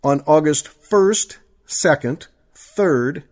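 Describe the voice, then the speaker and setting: A man's voice: on the high side, expressive, very slow. A man reading scripted credits and announcements as a radio announcer.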